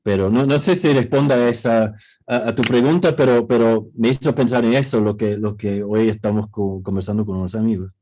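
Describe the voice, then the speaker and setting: Man, mellow voice, halting restart-heavy delivery, video call. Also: on the low side, fairly even intonation. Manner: hesitant, many restarts and fillers. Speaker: co-author speaking at a book presentation. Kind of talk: casual panel talk.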